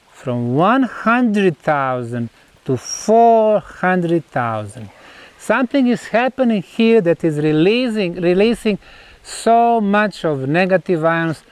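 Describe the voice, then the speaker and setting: High-pitched Bosnian-accented man presenting. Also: clear, resonant tone, dry recording. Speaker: researcher presenting measurements on site. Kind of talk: explanatory on-site presentation.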